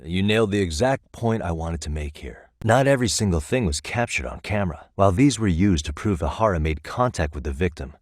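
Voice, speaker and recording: Video game detective, American accent, low-pitched, dry studio sound, thoughtful, articulate, level-headed. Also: male voice, animated, dry recording. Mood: explaining, focused. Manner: measured, articulate, reasoning aloud. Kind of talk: scripted game dialogue.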